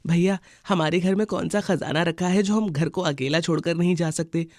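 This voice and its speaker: A man's voice: a little high, normal speed, darkish tone, dry recording, clean studio sound, lightly acted. A man voicing young brother birds in a children's cartoon, emphatic and boyish. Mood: bored, insistent. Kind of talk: Hindi children's cartoon dialogue.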